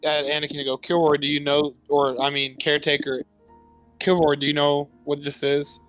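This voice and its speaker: Higher-voiced man gaming over faint music. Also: monotone, normal speed, a bit bright, rough recording. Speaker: tabletop game player. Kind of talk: casual in-character play.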